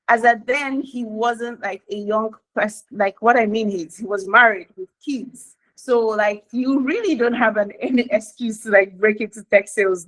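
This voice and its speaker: A woman host introducing a guest speaker at an unhurried pace, casual and loose, her speech full of "like". Her pitch sits in the middle range. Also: poor audio. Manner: casual, many "like" fillers.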